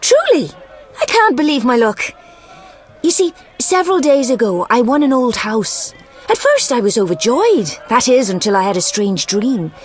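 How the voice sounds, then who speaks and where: A woman's voice: fairly high pitch, big pitch swings, normal speed. An actress playing a flirtatious, superstitious lady gambler in a video game, with a florid, coquettish and dramatic delivery. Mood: dramatic, troubled.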